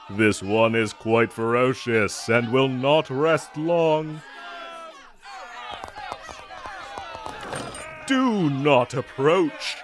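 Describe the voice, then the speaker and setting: A gallant fantasy knight, acted by a man, speaks in grand, old-fashioned phrases with a playful edge. His pitch swings expressively and his delivery is unhurried, in the manner of an audio roleplay. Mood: commanding, theatrical. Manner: formal, archaic, grandiose phrasing. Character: gallant, theatrical knight, honorable with a playful teasing streak.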